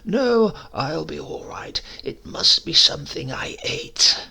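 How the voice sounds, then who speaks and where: Man putting on a gravelly, sly old uncle. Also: on the high side, big pitch swings, sharp tone, voice pushed up, full character voice. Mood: sick, weak. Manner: agreeable, sly, drawn-out politeness. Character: elderly-seeming visiting uncle, smooth-talking secret poisoner. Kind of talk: acted dialogue in a storybook reading.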